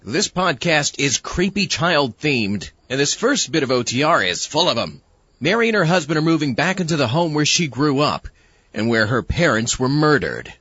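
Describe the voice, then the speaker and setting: A man's voice: fairly high pitch, very animated, normal speed, a bit bright, slightly rough, lo-fi sound, full character voice. A man playing an exasperated, sardonic sidekick and podcast host in a scripted comedy horror show. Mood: presenting. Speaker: host of an old-time radio horror podcast. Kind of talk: acted comedy framing sketch and scripted introduction.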